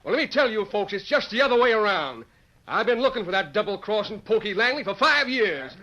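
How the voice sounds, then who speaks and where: An actor playing a boastful, scornful cowboy with theatrical frontier bluster, on a dull old radio recording. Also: male voice, high voice, very animated, a little fast, pushed and bright, heavily acted. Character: boastful, scornful cowboy promising to shoot his rival. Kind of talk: old-time radio western acting.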